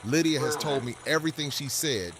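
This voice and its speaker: A man's voice: fairly high pitch, brisk, a loud crowd, close to an everyday voice, rough recording. A man acting a grave, dignified community leader with formal speech in an audio drama. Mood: solemn. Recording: loud music over voice.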